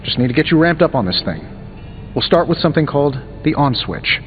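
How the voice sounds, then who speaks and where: Wisecracking inventor superhero, fairly high voice, sarcastic banter, muffled game audio, music behind. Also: male voice, big pitch swings, a little fast. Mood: teasing banter. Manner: glib, sarcastic, breezy banter. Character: wisecracking, fast-talking male genius inventor superhero. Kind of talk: video game dialogue acting. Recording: dull game audio, long reverb, music.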